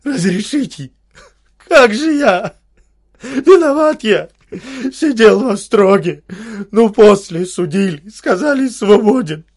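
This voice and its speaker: A man voicing a desperate young ex-convict who shouts hoarsely and chokes on his words. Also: sits high, expressive, takes it slowly, pauses often, pitched above the performer's own voice, heavily acted. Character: desperate young male ex-convict, wild and anguished.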